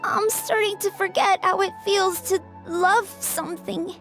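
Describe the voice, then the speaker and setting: A sickly little girl from a video game. The voice is tiny and very high, moving slowly and haltingly with wistful, plaintive earnestness. Music plays faintly behind. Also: fairly even intonation, a bit bright, exaggerated voice. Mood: wistful, sad. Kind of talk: English video game voice acting. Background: game background music.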